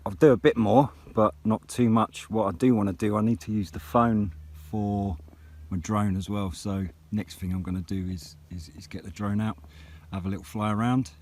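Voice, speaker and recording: A man's voice: lowish voice, fairly even intonation, normal speed, hardly any pauses, darkish tone. A man, chatting casually outdoors as a vlogger and CB radio hobbyist. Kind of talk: casual vlog chat and radio exchanges. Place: outdoors on a hilltop.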